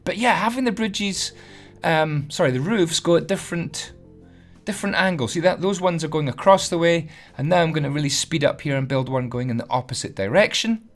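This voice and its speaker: Hoarse guy, chatty tutorial voice-over, a bit high, soft music underneath. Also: brisk. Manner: chatty, self-deprecating, trips over words. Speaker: Minecraft building tutorial host.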